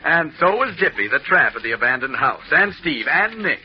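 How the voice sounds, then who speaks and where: A calm, good-humored reporter who lets a chuckle into his lines, his slightly high voice rising and falling lightly. The sound is a muffled old radio broadcast. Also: normal speed, breathy edge, exaggerated voice. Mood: amused. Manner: easygoing, teasing, chuckling. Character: calm, amused male reporter turning the tables. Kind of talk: 1940s radio drama acting. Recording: dull old radio recording.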